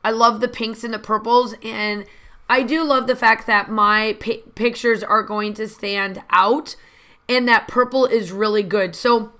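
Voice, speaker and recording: Woman host for a craft vlog voiceover; chatty, rambling, slightly hoarse, bright tone. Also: a little high, fairly even intonation, a little slow. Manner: rambling, chatty, many fillers and restarts. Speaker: scrapbooking channel host. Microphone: voice-over recorded separately from the video.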